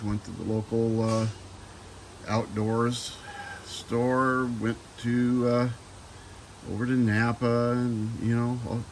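A middle-aged man talks slowly and a little low, reviewing gear in a casual, rambling way full of "uh"s and restarts. He is outdoors in a sunny driveway, filming on a handheld phone, with faint outdoor noise behind him. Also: poor audio. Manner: unhurried, rambling, many fillers and restarts. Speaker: DIY video maker.

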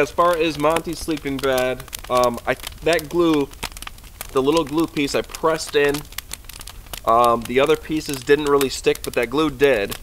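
A man's voice: mid-range voice, a bit bright, slight background noise. A young man rambling casually to camera at a winter campsite, talking easily with fillers. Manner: chatty and easygoing, rambling with fillers. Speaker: outdoor vlogger. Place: snowy forest campsite at night, outdoors by a fire. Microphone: camera on a tripod a few meters away.

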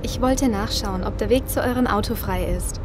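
A woman's voice: mid-range voice, normal speed, noisy background, close to an everyday voice. A woman playing a plucky, matter-of-fact survivor in an audio drama. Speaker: voice actor.

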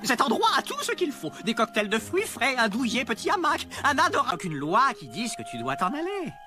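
A man voicing a cocky, wisecracking young meerkat in an animated film dub, theatrical, sarcastic and quick with words. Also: very high-pitched, full character voice. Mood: upbeat. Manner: theatrical, fast-talking, sarcastic. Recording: film music under the voice.

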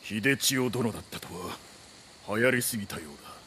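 An actor playing a composed, dutiful ninja retainer in a period video game, speaking in formal, measured samurai phrasing. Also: male voice, mid-range voice, pushed and bright, slight background noise, lightly acted. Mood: guarded, formal. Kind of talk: scripted Japanese period game drama. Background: music.

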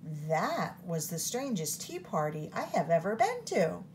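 A woman voicing a curious, polite young girl who speaks with gentle wonder, in a read-aloud storybook. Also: on the low side, sing-song, measured pace, slight background noise.

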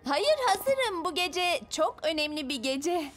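A woman's voice: very high voice, very animated, slow pace, heavily acted. A woman voicing a sweet, sensitive teenage vampire girl in a dubbed cartoon, girlish and gushing. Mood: flustered. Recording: loud music behind the voice.

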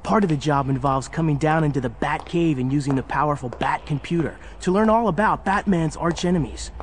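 An actor playing an earnest, youthful superhero sidekick, narrating a children's audio drama. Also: male voice, a little high, brisk, slight background noise, lightly acted. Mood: earnest storytelling. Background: music.

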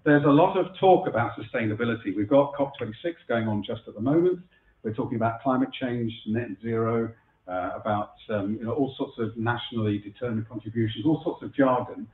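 A middle-aged man with a husky voice from a cold, giving a chatty conference keynote in a lecture room, with many fillers and restarts. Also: mid-range voice, brisk. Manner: chatty, self-deprecating, frequent fillers and restarts. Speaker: keynote speaker. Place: lecture room before a live audience. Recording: echoey room over a phone-like line.

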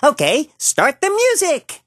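A woman's voice: sits high, huge pitch swings, slow pace, over music, full character voice. A woman voicing a lazy, flustered young frog puppet in a children's show, hesitant and forgetful. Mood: cheerful, befuddled.

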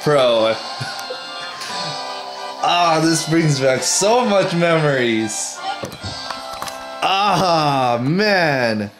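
A man's voice: on the high side, animated, extremely slow, pauses often, echoey room, music in the background, rough recording. A young man vlogging casually from his bedroom, gushing and rambling about games, filmed on a camera held at arm's length. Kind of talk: casual vlog chat. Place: bedroom at home.

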